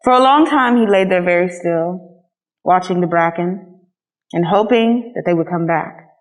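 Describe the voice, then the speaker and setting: A woman reading a children's story aloud as a scripted narration, in an expressive storytelling manner. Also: lowish voice, normal speed, poor audio. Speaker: storybook reader.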